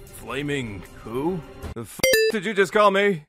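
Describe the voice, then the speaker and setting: Man acting gruff male echidna villain, vengeful, hot-headed, slow delivery, swinging pitch, loud music behind. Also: big pitch swings. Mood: sullen. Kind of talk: cartoon comic dub acting.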